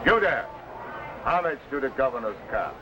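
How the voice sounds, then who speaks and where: A man plays an officious, bullying soldier in an old adventure serial. He barks pompous commands with big rises and falls. The recording has clear vintage noise. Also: mid-range voice, very animated, normal speed, dull audio, close to an everyday voice. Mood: outraged. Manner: barking, pompous orders. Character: bullying, officious male palace guard. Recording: noisy old broadcast recording.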